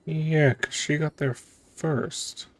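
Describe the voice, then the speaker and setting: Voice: mid-range voice, steady tone, drawn-out words. A streamer giving casual, informal gameplay commentary in a musing manner. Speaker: streamer playing and reacting to a game.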